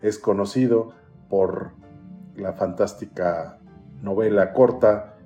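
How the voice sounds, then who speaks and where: A man hosting a literary podcast, reading an introduction and an author biography in a formal, measured way. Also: lowish voice, warm tone, soft background music. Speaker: podcast host.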